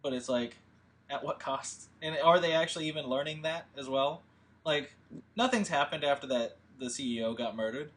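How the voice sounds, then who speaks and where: A man hosting a casual livestream, talking in a rambling, halting way as he thinks aloud. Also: on the high side, a bit bright. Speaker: host and filmmaker.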